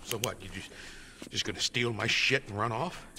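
An actor playing a bitter middle-aged American survivor in a video game, brusque, grumbling and sarcastic. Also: male voice, lowish voice, normal speed, natural voice. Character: gruff, bitter middle-aged male survivor, foul-mouthed and irritable. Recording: game effects noise over the voice.

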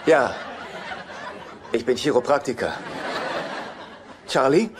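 A man's voice: medium pitch, lively intonation, takes it slowly. An actor playing a naive, earnest, socially awkward man in his forties in a German-dubbed sitcom. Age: 40s. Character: childish, awkward, lonely forty-year-old chiropractor brother. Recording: noisy TV soundtrack rip.

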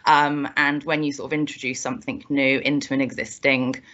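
A woman's voice: extremely low pitch, little pitch movement, brisk, fairly bright tone, slight background noise. A woman social worker answers reflectively on a video call, with many casual fillers. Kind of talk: panel answer. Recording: muffled video call audio.

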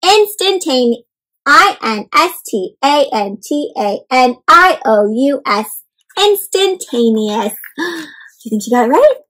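A young woman reading a picture book aloud to children in an animated, interactive way, stopping often to ask her young listeners questions. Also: high-pitched, slow pace, low-quality recording. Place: indoors in front of a leafy backdrop.

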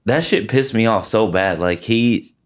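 A man's voice: fairly low pitch, unhurried. A man co-hosting a sports podcast, telling stories with exasperated, swearing outbursts. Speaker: podcast co-host. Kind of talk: casual sports banter.

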